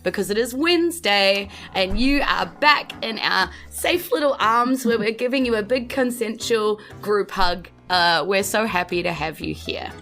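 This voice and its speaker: A woman's voice: mid-range voice, measured pace, few pauses, fairly bright tone, faint music behind. A woman with a New Zealand accent, hosting and narrating a tabletop game podcast as game master.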